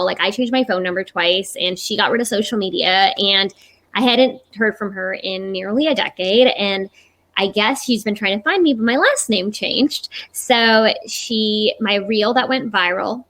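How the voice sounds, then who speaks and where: A woman in her thirties hosting a casual live chat, talking in a chatty, animated way. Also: mid-range voice. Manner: chatty, animated, laughs often. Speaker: host.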